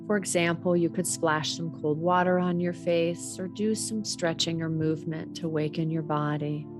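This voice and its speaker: A woman guiding a body scan meditation in a measured, gently instructional way. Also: fairly low pitch, steady tone, over music. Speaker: meditation teacher.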